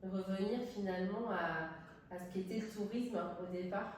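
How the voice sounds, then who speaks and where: A woman's voice: mid-range voice, moderate pace, a little mellow. Middle-aged woman in a very echoey room. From southern France. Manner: hesitant, searching for words. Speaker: tourist office director, interviewee. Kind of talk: spontaneous interview talk. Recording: very echoey room, distant mic, faint noise.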